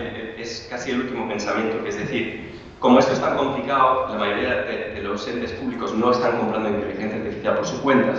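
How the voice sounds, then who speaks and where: A man's voice: fairly low pitch, a bit bright, slight background noise. A man giving an academic conference talk over a video link, often repeating words as he thinks. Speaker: conference speaker. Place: remote video call. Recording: echoey distant lo-fi video call.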